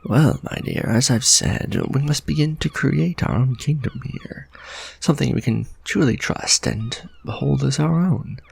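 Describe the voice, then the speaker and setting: A man's voice: medium pitch, exaggerated voice. A man voicing a refined, possessive male vampire lord in an ASMR romantic roleplay, formal and musing as he tenderly addresses the listener. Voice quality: gravelly, husky, soft-spoken.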